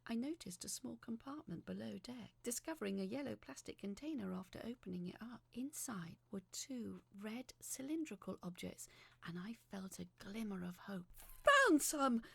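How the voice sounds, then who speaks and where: A woman's voice: mid-range voice, moderate pace, gravelly, a little mellow, husky, no room sound. A young woman performing a dramatic first-person horror narration in an emotive, suspenseful way.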